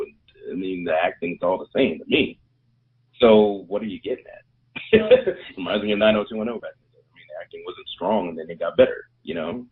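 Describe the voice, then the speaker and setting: Deep husky male co-host, blunt and offhand, tinny phone-like sound. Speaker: podcast co-host. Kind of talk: casual TV review chat.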